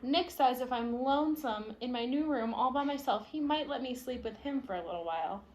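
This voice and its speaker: A woman reading a children's picture book aloud expressively, with soft explanatory asides for young listeners. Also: high voice, a little fast, slight background noise. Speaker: read-aloud storyteller.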